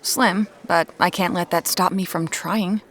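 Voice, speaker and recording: A woman's voice: fairly low pitch, steady tone, a little slow, fairly bright tone. A woman playing a determined, dryly frank amateur detective in a naturalistic audio drama. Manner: dry, frank, stubborn. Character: determined amateur woman detective hunting her sister's killer.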